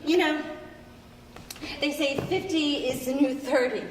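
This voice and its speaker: An actress playing a lonely, wounded middle-aged wife, plaintive and emphatic, in a live stage play. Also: female voice, sits high, measured pace. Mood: wistful hurt. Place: stage of a theater, live performance. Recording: distant, echoey hall, faint noise.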